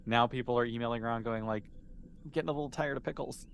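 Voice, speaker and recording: A man's voice: medium pitch, quick pace, creaky. Man running a tabletop game, noisy recording. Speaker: game master. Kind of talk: casual narration of a tabletop roleplaying game. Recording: noticeable background noise.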